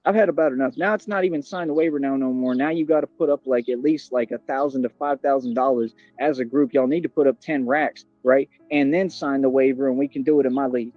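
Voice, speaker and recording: A man's voice: medium pitch, fairly even intonation, fast talker, hardly any pauses, no room sound, faint music behind. Boastful man on dull, dry stream audio. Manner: boastful, confrontational, repetitive slang. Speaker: livestreamer. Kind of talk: boastful livestream rant.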